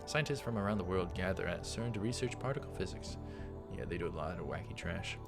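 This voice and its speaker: A man's voice: low-pitched, lively intonation, fairly quick, creaky. A man hosting a let's play, reading visual novel text aloud and adding casual, offhand comments. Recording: music behind the voice. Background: game music.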